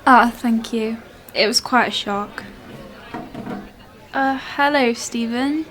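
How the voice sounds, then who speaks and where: Woman acting a high-voiced, uneasy widow. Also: takes it slowly, fairly bright tone, some chatter behind, slight background noise. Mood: awkward, uneasy. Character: bitter, uneasy widow of an abusive husband. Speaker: actor in a radio play. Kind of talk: student radio drama acting.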